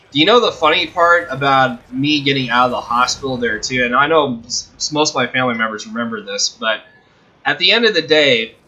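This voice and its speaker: A young man hosting a video, telling a personal story casually in a halting, rambling, self-correcting way. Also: medium pitch, sharp tone, low-quality recording. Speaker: video host.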